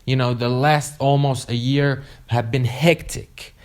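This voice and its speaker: A man's voice: mid-range voice, steady tone, unhurried, darkish tone. A young man whose first language is Arabic tells a casual, rambling storytime full of "you know" fillers and swearing. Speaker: vlogger.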